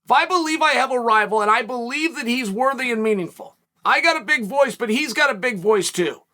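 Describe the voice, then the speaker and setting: Man, 40s, high voice, forceful bright tone, animated solo commentary, dark studio. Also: fairly quick. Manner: animated, speculative, emphatic storytelling. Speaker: show host, commentator. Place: dark studio set, seated in armchair.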